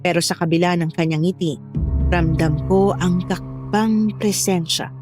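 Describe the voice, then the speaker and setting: A woman's voice: on the low side, measured pace. Woman narrating horror with music behind. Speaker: first-person story narrator.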